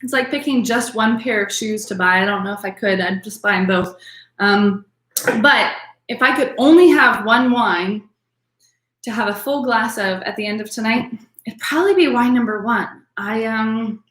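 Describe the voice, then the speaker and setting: A woman's voice: a little high, normal speed, a bit bright, reverberant. A woman teaching an online wine class in a chatty way, full of ums, calling on attendees by name. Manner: chatty, filler-heavy, addresses attendees by name.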